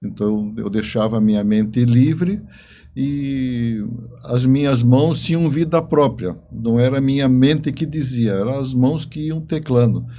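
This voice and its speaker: A man in his seventies with a Brazilian accent answering interview questions conversationally, in a halting way that repeats words. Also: mid-range voice, mellow, a little hiss or hum. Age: 70s. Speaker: interview guest, writer and engineer.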